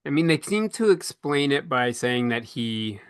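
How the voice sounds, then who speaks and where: A man hosts a casual podcast panel with a bright, forward voice and a very dry, close sound. He speaks reflectively and conversationally as he moderates the discussion. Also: mid-range voice, very animated, a little slow, smooth, no room sound.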